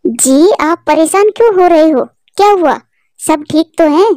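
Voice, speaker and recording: A woman's voice: extremely high pitch, lively intonation, a little slow, darkish tone, no room sound, studio quality. A woman voicing a worried, loyal female pigeon wife in a cartoon. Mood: anxious. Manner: soothing, anxious.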